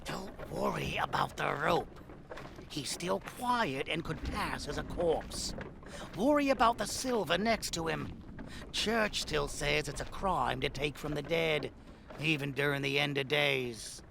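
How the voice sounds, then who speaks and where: An actor playing a callous, scheming leader of looters in a horror audio drama, scornful and domineering. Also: male voice, a little high, expressive, unhurried, creaky, noisy background, exaggerated voice. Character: callous scheming looter boss, impatient and bullying.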